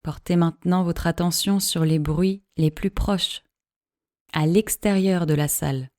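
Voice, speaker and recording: Female meditation guide, calm and measured, mellow tone, close dry sound, soothing instructional delivery. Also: on the low side, dry recording. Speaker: yoga nidra teacher.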